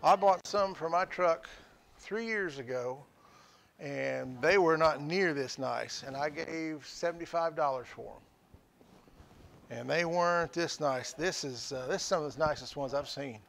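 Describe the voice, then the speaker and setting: Gravelly high-voiced older man hawking items. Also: a little slow, a bit bright, a little hiss or hum. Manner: folksy, joking, describing items one by one. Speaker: auction show host. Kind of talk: casual on-air sales pitch. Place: small studio room with sale items.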